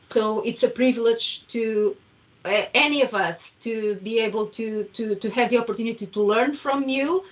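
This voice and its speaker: A woman hosting an online meetup, introducing a guest speaker in a friendly, halting way with many fillers and restarts, speaking through a headset on a video call. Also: on the high side. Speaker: meetup host. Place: home room, video call. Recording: distant, dull video call audio.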